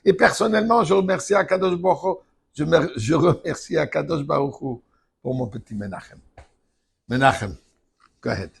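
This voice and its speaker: Gravelly older rabbi teaching Torah. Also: male voice, a little high, lively intonation, moderate pace. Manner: emphatic, rising to forceful outbursts. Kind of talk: Torah lesson.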